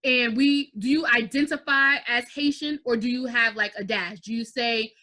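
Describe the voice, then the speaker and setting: A young American woman hosting an interview over a video call from her living room, talking in an animated way and slipping into Haitian Creole. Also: fairly high pitch, normal speed. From United States. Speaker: host. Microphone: video call webcam.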